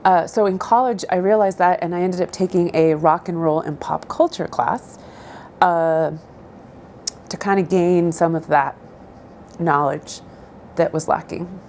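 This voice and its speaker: Middle-aged woman, native Spanish speaker, slow careful answers, low mellow voice. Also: a little hiss or hum. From southern Idaho, US. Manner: measured and thoughtful, pauses with many ums. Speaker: interviewee. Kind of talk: answering interview questions.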